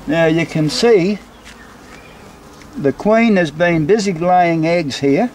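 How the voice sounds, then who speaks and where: A man's voice: fairly high pitch, slow pace, a little mellow, poor audio. A man, a beekeeper, casually explaining a hive inspection as he films outdoors. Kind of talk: casual explanatory vlog narration. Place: outdoors beside a beehive and brick house. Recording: clear buzzing insect noise behind. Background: buzzing bees.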